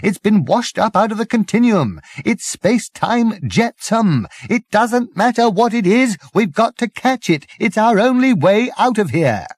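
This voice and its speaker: A man voicing an impatient, excitable galactic traveller in an audiobook, emphatic and easily exasperated. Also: high-pitched, dry recording, pitched above the performer's own voice, full character voice. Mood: exasperated, shouting. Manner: emphatic, slow and distinct when exasperated.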